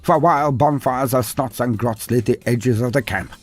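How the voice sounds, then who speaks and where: A man's voice: medium pitch, moderate pace, faint music behind. A man giving scripted dramatic narration of fantasy war lore in a theatrical, wry storybook manner.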